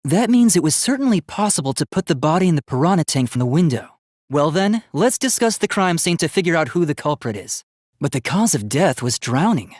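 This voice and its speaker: A man's voice: on the high side, sing-song, a little fast, a little mellow, dry recording, studio quality. A man voicing an earnest, logical young robot student in a video game, speaking precisely and formally. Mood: analytical, formal. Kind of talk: English video game voice acting.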